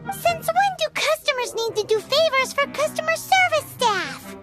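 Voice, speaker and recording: A woman voicing a small, cheeky, childlike fairy companion in a video game, squeaky and exclamatory. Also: very animated, moderate pace. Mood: puzzled, cheeky. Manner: exclamatory, speaks of self in third person. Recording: music behind the voice.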